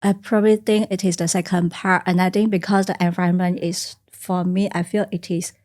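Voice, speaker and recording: A woman from China whose first language is Teochew, speaking softly and reflectively as a guest in a studio podcast interview. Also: lowish voice, flat delivery, brisk. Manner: soft-spoken and reflective, simple phrasing with small grammar slips. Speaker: guest, career coach. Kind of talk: podcast interview, personal storytelling.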